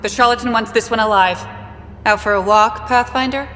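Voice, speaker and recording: A woman's voice: fairly high pitch, moderate pace, fairly bright tone, big-room sound, soft background music, close to an everyday voice. A woman playing a tough, curt guard of an outlaw gang in a video game, clipped and commanding. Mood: cool, guarded.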